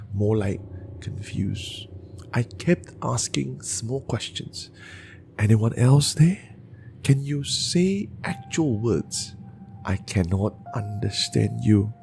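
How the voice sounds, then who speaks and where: A man narrating bedtime horror stories in a deliberate, dramatic way, with pauses. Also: on the low side, vocal fry, darkish tone.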